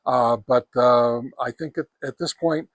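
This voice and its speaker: A man giving a casual product review outdoors on a backyard deck, talking through test results in a halting, folksy, conversational way. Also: mid-range voice, no echo. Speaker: host of radio gear review channel.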